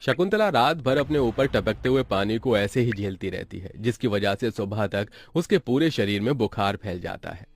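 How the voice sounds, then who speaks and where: A man's voice: lowish voice, lively intonation, moderate pace, hardly any pauses, no echo. A man reading scripted narration as the storyteller of a moral tale.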